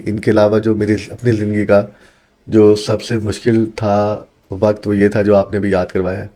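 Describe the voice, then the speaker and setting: A man's voice: fairly low pitch, dark tone. A man from Pakistan, an accounting teacher, answering interview questions in an earnest, explanatory way and mixing in English phrases.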